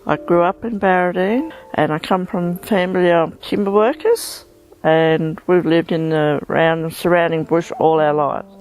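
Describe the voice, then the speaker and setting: A woman's voice: lowish voice, unhurried, faint music behind, rough recording. An older woman with an Australian accent, reminiscing in a folksy way about a childhood in the bush. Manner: flat, folksy storytelling. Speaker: interviewee, local resident. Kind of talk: casual reminiscing.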